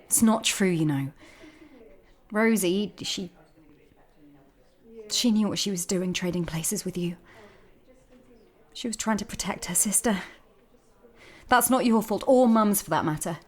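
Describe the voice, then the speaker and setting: A reassuring sister, played by a woman talks in a medium-pitched voice. She is tender and regretful, with a gentle, halting delivery suited to audio drama. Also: close to an everyday voice.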